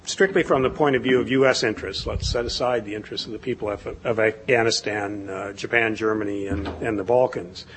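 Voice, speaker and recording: A man's voice: on the low side, fairly even intonation, a little fast, hardly any pauses, fairly bright tone, slight background noise, low-quality recording. A man who is a diplomat speaking on a conference panel in a conversational way, with light asides. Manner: conversational, self-deprecating asides.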